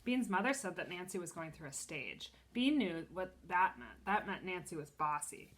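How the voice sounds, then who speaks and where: A woman teacher reading a children's chapter book aloud, expressively, pausing to explain and ask questions. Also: on the low side, big pitch swings, fairly bright tone. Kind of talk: children's storybook read-aloud.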